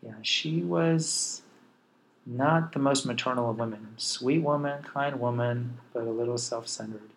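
A man's voice: mid-range voice, measured pace, a little hiss or hum, low-quality recording. A man in his forties, a podcast host, candidly telling his own life story in a wry, self-deprecating way. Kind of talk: personal storytelling monologue.

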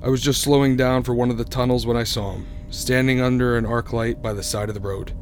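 A man's voice: mid-range voice, steady tone, a little fast, slight background noise, lightly acted. An actor playing a haunted young motorist who tells his eerie story in a radio drama, grave and suspenseful. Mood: uneasy, suspenseful. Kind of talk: radio drama narration and acting.

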